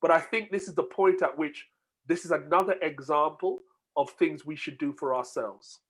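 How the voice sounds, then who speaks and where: A man's voice: on the high side, moderate pace. Man hosting an online discussion. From United Kingdom. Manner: conversational, interjecting, moderating. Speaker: host.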